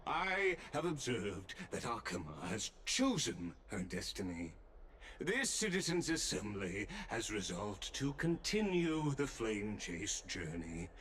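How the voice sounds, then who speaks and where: A man's voice: on the low side, big pitch swings, slow, a bit bright, slight background noise, exaggerated voice. A man playing a courteous, solemn statesman in a video game, speaking in a formal, ceremonious manner. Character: solemn, courteous male statesman presiding over an assembly. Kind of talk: dramatic video game voice acting.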